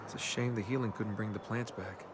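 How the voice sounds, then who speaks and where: An actor playing a cocky young adventurer and reluctant hero in a video game, with a wry, flippant, casual delivery. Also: male voice, fairly low pitch, steady tone, a little fast, noisy background, close to an everyday voice. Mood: thoughtful, practical.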